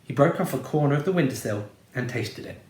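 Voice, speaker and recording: Male storyteller, playful read-aloud, lively, roomy sound. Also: medium pitch, a little fast. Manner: expressive, playful. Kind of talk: reading a children's storybook aloud.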